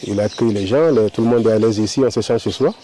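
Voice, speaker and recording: Male interviewee, slow and considered, mellow voice, faint hum of noise outdoors. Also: fairly low pitch. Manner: deliberate, pausing, with restarts. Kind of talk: street interview.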